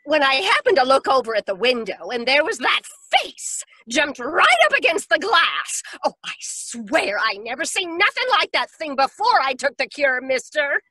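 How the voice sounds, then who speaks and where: A woman's voice: sits high, big pitch swings, normal speed, hardly any pauses, sharp tone, no echo, heavily acted. A woman playing a panicky, uneducated, brassy woman in old-time detective radio, with melodramatic, slangy working-class speech. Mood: panicked. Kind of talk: 1940s radio detective acting.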